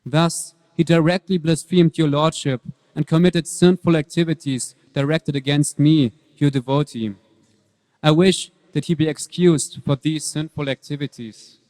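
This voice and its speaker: Man as a high-voiced pious prince in a hall. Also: steady tone, measured pace, darkish tone, lightly acted. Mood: reverent, pleading. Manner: earnest, reverent pleading. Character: humble, pious young prince devoted to his Lord. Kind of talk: dramatic scripture reading. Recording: reverberant hall.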